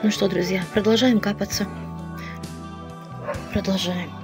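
A woman at an animal shelter talks to viewers in a soft, tender, reassuring way as she tends a sick dog. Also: medium pitch, steady tone, measured pace, over loud music. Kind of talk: addressing viewers while caring for a sick dog. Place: shelter room indoors. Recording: loud background noise.

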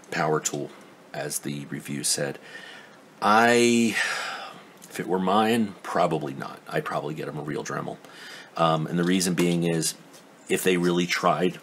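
A man's voice: a bass voice, fairly bright tone, a little hiss or hum. A man giving a casual hands-on tool review at his workbench, rambling and skeptical, full of ums and restarts. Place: indoor workbench.

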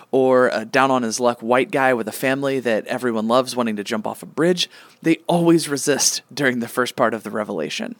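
A man's voice: mid-range voice, no room sound, close to an everyday voice. A man playing a self-important, petulant god with a pompous, dismissive manner in a comic radio play.

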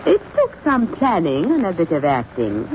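An actress playing a cold, scheming widow in a 1940s radio crime drama, speaking with theatrical precision. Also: female voice, fairly low pitch, huge pitch swings, moderate pace. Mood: coolly triumphant. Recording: muffled, noisy old radio recording.

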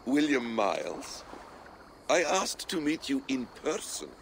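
An actor playing a suave, cunning, enigmatic ancient assassin in a video game, talking in a sly, theatrical way. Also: male voice, medium pitch, wildly swooping pitch, slow, creaky, fairly bright tone, a little hiss or hum, full character voice. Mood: sly composure.

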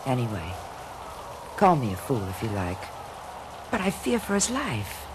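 A woman's voice: very deep voice, wildly swooping pitch, a little slow, exaggerated voice. Woman as a swooping melodramatic heroine. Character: breathless melodramatic femme fatale heroine. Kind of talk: mock film-noir radio drama. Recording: noisy ambience behind the voice.

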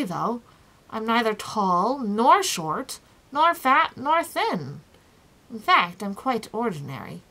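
A woman's voice: on the high side, sharp tone, voice pushed up, exaggerated voice, low-quality recording. A woman voicing a proud, cheerful, fussy ordinary-sized man in a storybook, with an emphatic, self-important manner. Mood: impatient, confiding.

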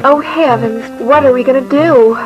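A woman's voice: high voice, moderate pace, muffled recording, music in the background, natural voice. A woman voicing a sweet, genteel cartoon sheep lady. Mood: worried.

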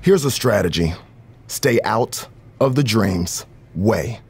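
Aloof flamboyant game wrestler, husky, haughty, self-important. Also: male voice, on the low side, lively intonation, slow, full character voice. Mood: haughty, dismissive. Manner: haughty, third-person self-talk. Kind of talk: video game cutscene acting.

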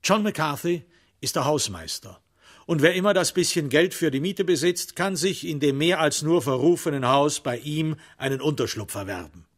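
A man narrating a literary crime radio play in a measured, dramatic way, with pointed pauses. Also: fairly high pitch, animated.

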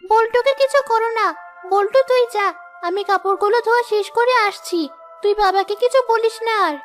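A woman's voice: very high-pitched, brisk, faint music behind. A woman voicing a dutiful, long-suffering young daughter in a cartoon, earnest and pleading. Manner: pleading, earnest, self-sacrificing. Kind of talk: cartoon dub acting.

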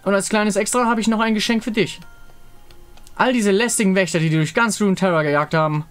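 A scheming woman pirate captain, played by a man, cold and defiant. The voice sits fairly high for a man, with music behind the game dialogue. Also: fast talker, fairly bright tone, clean tone. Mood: cool, scheming.

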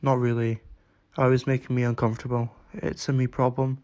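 An actor playing an anxious, apologetic, socially awkward young person, speaking haltingly in a short-story reading. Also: mid-range voice, flat delivery, darkish tone, natural voice. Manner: hesitant, halting.

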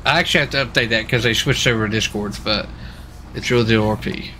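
Man streaming casually, bright forceful voice. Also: on the low side, fast. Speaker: livestreamer and role-play gamer. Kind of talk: casual chat while streaming a game. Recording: faint background noise.

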